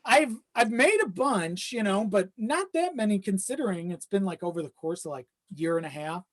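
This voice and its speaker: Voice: mid-range voice, lively intonation, normal speed, fairly bright tone. Informal, sweary podcast guest in casual chat. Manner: informal, sweary, self-deprecating. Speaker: video editor.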